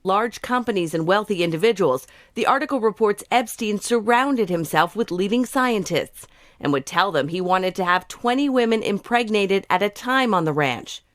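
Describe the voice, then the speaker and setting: A woman with a mid-pitched voice delivers a scripted news report. The recording is close and very dry, with no room sound. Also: lively intonation, normal speed, no room sound.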